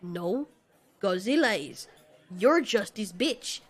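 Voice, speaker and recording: A woman's voice: medium pitch, lively intonation, takes it slowly, faint music behind. A woman playing a brash, hot-tempered male flying monster in an audio drama, sarcastic, dismissive and foul-mouthed. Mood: scornful.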